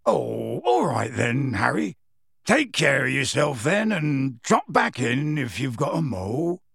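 A man acts out a huge, big-hearted groundskeeper from a storybook. The voice is gruff and gravelly, with halting, homely phrasing and a lively swing in pitch. It is recorded close and dry. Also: no room sound, exaggerated voice. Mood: subdued. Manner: halting, folksy, colloquial.

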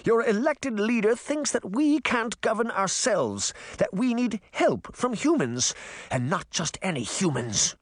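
A man voicing a pompous, furious fairy-tale queen in an audiobook, with a high, strident voice. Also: sing-song, moderate pace, no echo. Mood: raging. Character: shrill pompous fairy-tale queen, middle-aged, ranting. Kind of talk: audiobook character voice.

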